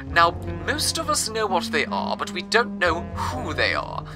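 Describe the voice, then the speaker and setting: A male radio host narrates a scripted story in a wordy, measured way. His voice is lively and rises and falls a lot. Clear music plays behind him. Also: fairly high pitch.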